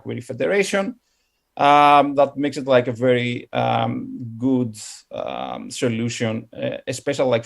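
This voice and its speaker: A man from Greece with a Greek accent gives an informal meetup presentation, speaking haltingly with constant filler words. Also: mid-range voice, creaky. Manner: hesitant, halting delivery with constant "like" and "um". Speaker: guest speaker, tech company product professional.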